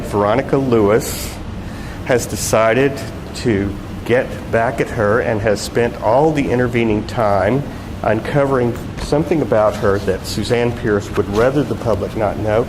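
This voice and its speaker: A man, a novelist, giving a live reading of his own narrative prose at a public event. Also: mid-range voice. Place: public arts event, voice ringing in the space. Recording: echoey hall with loud background noise.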